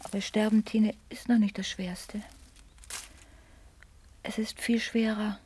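An actress playing a melancholy, whimsical mother and pastor's wife in a literary radio drama, with a breathy, husky voice. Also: mid-range voice, little pitch movement, darkish tone. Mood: weary, wistful. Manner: slow, wistful delivery.

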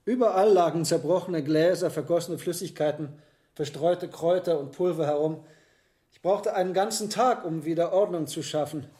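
An actor playing a learned, hesitant medieval monk herbalist in a radio drama, speaking in a deliberate, musing way. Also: male voice, fairly high pitch, dark tone, close to an everyday voice. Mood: troubled recounting. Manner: deliberate, musing, with reflective pauses. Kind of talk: German radio drama acting.

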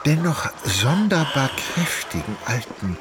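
A man acting as narrator of a literary radio drama, an ornate, wry storyteller with a measured delivery. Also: mid-range voice, big pitch swings, background noise. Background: music.

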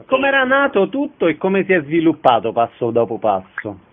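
A man's voice: on the high side, huge pitch swings, moderate pace. A man asking questions as an interviewer. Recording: narrow telephone-like sound.

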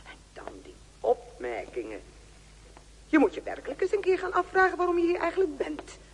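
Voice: very high voice, wildly swooping pitch, brisk, big-room sound, slight background noise, heavily acted, low-quality recording. An actor in a radio drama playing a senior nurse sternly lecturing a colleague with rhetorical questions.

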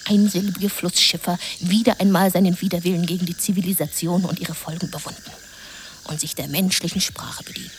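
A woman's voice: medium pitch, lightly acted. An actress playing an impassioned woman who tells a lurid adventure fantasy in a melodramatic, intense radio play manner, at times whispering. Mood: hushed, ominous. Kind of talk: radio play narration and acting. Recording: noisy jungle ambience behind.

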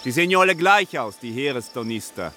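An actor playing a put-upon gardener's son who grumbles and sounds exasperated, in a radio play. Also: male voice, mid-range voice, animated, quick pace, bright, forceful tone, a little hiss or hum.

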